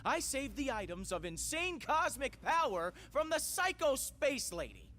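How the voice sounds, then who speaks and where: A brash sarcastic raccoon rogue. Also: male voice, extremely high pitch, sing-song, unhurried, bright, forceful tone, full character voice. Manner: sarcastic, boastful. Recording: game cutscene with music.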